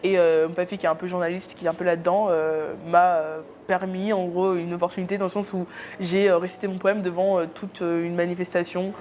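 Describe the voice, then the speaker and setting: A woman's voice: fairly low pitch, little pitch movement, fairly quick, darkish tone, slight background noise. A young woman from Cameroon, a YouTuber guest in a casual interview, talking with many hesitations.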